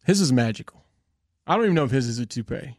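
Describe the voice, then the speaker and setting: A man's voice: mid-range voice, lively intonation, fast talker, a little mellow, dry recording, clean studio sound. A man bantering casually as a podcast co-host.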